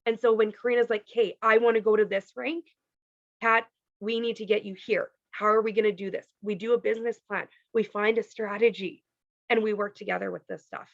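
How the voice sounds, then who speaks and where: Lady from canada explaining a pay plan on a call, dry close sound, kinda hoarse, says like and okay a lot. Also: medium pitch, steady tone, brisk, no room sound, muffled recording. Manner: chatty, peppered with "like" and "okay". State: losing her voice. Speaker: team leader presenting a compensation plan. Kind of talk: casual presentation on a video call. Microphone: video call.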